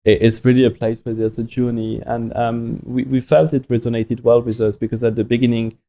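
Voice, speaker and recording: A young man from France with a French accent, a watch company founder talking in a podcast interview, halting and full of restarts and fillers. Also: medium pitch, level tone, mellow.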